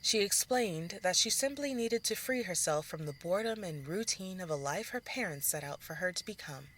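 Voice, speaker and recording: A woman's voice: on the low side, expressive, normal speed. A woman reading scripted narration for a fantasy story as its narrator. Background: faint music.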